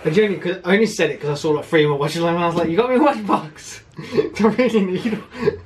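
A man, teasing his partner in a dry, relaxed way in a bedroom at night. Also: sits high, fast, a crowd in the background. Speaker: vlogger's partner. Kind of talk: casual chat. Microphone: handheld camera.

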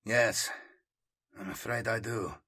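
A man voicing an earnest, principled official in an audiobook, calm, guarded and quietly probing. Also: on the low side, slow pace, slightly rough, heavily acted. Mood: restrained.

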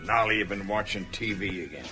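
An actor playing a dry, weary small-town American lawman in a radio drama, gravelly, with an American accent. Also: on the low side, fairly quick. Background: loud music.

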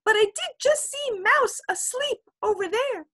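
A woman voicing a tiny squeaking mouse who pretends to be a monster, chattering high and quick. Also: lively intonation, bright, forceful tone, full character voice. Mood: fibbing.

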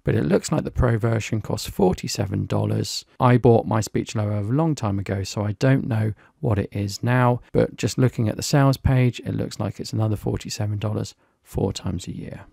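A British man from the UK reviewing and demonstrating a piece of software in a casual, explanatory way. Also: lowish voice, moderate pace, gravelly, slightly rough, dry recording, studio quality. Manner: plain, explanatory, lightly chatty. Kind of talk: screen-recorded software tutorial.

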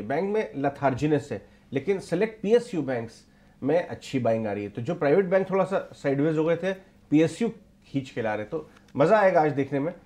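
A middle-aged man with a somewhat high voice anchors a live market show in a TV studio. He explains patiently and repeats his key points to drive them home. He speaks into a lapel mic. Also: darkish tone. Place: TV news studio.

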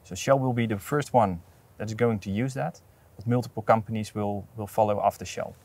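A man in his thirties speaking in an outdoor interview, earnest and measured, with frequent restarts, on a lapel mic. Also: fairly low pitch, a little mellow. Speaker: port authority representative. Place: outdoors at an industrial port site.

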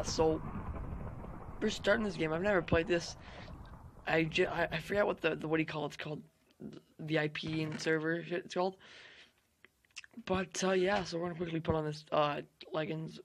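A young man hosting a gaming channel, hoarse from losing his voice, rambling through casual gameplay commentary with fillers and swearing. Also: on the high side, a little hiss or hum.

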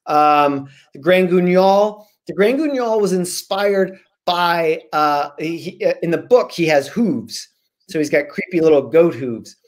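An American man in his forties, a children's author with an animated, theatrical way of talking, on a video call from home. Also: a little high, measured pace. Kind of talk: dramatic storybook reading and casual chat. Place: small room at home. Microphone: laptop mic on video call.

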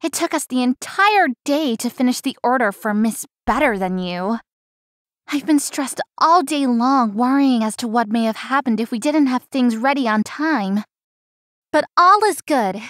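A woman's voice: on the high side, animated, unhurried, a bit bright, no room sound, clean studio sound. A woman playing an anxious, chatty young florist in a visual novel, talking expressively to herself and others. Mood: worried resolve. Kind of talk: visual novel voice acting, inner monologue.

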